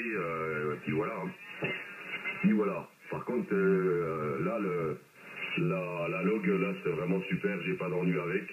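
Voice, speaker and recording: A man from eastern France chatting casually and colloquially as an amateur radio operator, heard over shortwave reception played through speakers. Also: deep voice. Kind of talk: casual ham radio contact. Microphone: radio reception re-recorded from speakers on a phone. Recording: muffled shortwave radio, hissy, roomy playback.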